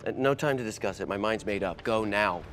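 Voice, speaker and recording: A man's voice: on the low side, brisk, music in the background, close to an everyday voice. An American man in his thirties playing a cocky, chatty, big-hearted young tech whiz in a TV drama table read. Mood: cocky, sincere. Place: large meeting room full of people.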